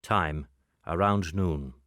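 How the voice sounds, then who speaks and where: Low-pitched man narrating a clipped dossier. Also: fairly bright tone, no room sound, clean studio sound. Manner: clipped, measured, formal report delivery. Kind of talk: scripted spy-dossier documentary narration.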